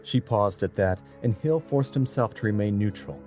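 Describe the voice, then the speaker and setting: A man's voice: fairly low pitch, lively intonation, normal speed, dark tone, faint music behind. A man narrating a dramatic audiobook from a script as a storyteller.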